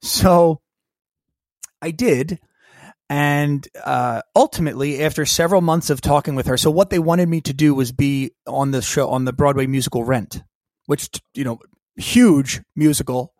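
A man telling a personal story as a podcast guest, lively and acting out the voices of people in it. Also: a little high, normal speed, dry recording, clean studio sound. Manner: animated storyteller who acts out quoted dialogue. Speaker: guest, keynote speaker and coach.

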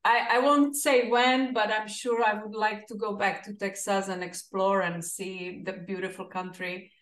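A woman's voice: mid-range voice, fairly even intonation, moderate pace. Woman, Czech accent, casual interviewer, mid-range voice, echoey recording.

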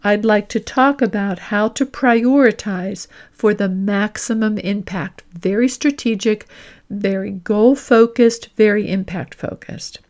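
Woman doing a coaching podcast, medium pitch, explains stuff deliberately and leans on the key phrases. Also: darkish tone. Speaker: career coach and podcast host. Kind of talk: instructional podcast talk with acted example dialogue.

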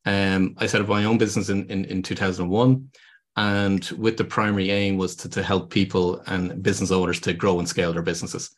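Deep-voiced Irish man. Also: level tone, fairly quick. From Ireland. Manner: earnest, explanatory, with many ums and restarts. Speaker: guest, business consultant. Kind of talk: podcast interview.

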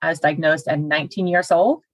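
Woman in her 40s, low husky voice, dry close sound, chatty and organized. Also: fairly even intonation, a little fast, no room sound. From Denmark. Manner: structured and chatty, laughs now and then. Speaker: guest, personal trainer. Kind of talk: podcast interview.

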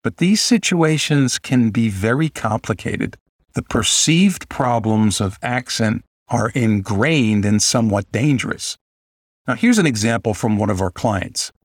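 A male host and communication coach lays out an example in a steady, measured way. His speech sounds prepared and even. The recording is close and dry. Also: on the low side, no echo. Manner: measured, explanatory. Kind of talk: prepared commentary.

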